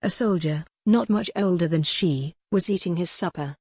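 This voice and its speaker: A woman with a lowish voice narrates a historical romance audiobook. Her reading is measured and articulate, in a very dry recording with hardly any room sound. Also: a little mellow, husky, no echo.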